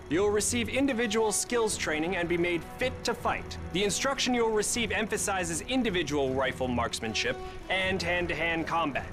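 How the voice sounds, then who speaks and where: Guy as american army captain pumping up recruits, firm, highish voice, music behind. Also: close to an everyday voice. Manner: firm, rousing, authoritative. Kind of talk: military recruitment film narration.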